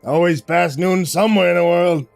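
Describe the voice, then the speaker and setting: A man's voice: high voice, steady tone, normal speed, gravelly, dry recording, soft background music, pitched above the performer's own voice. A man voicing a genial, cocky mob boss with chatty, slangy, expansive talk in a narrated story. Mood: jovial, pushy.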